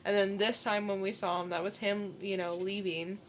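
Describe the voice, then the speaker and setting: A woman's voice: medium pitch, level tone, moderate pace, fairly bright tone, slight background noise, low-quality recording. A woman co-hosting a podcast, chatting casually as she reviews films. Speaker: podcast co-host. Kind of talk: casual film review chat.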